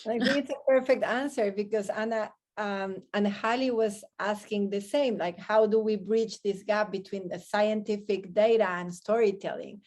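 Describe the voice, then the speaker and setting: A woman's voice: mid-range voice, fairly even intonation, normal speed, hardly any pauses, a little mellow. A woman moderating an online discussion and putting questions to the panel.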